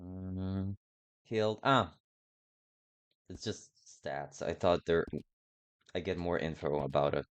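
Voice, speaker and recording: A man's voice: low voice, slow pace, long pauses, clear, resonant tone. A man narrating a game playthrough, switching between casual commentary and reading in-game text aloud. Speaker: gamer narrating own playthrough.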